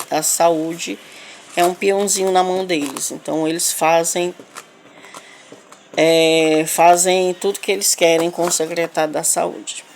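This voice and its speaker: Woman, deep register, Northeastern Brazilian accent. Unscripted complaint, emphatic and repetitive with halting delivery, ambient noise behind. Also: a little mellow. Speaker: local resident making a video appeal. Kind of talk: unscripted spoken complaint to camera. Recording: clear background noise, ambient sound.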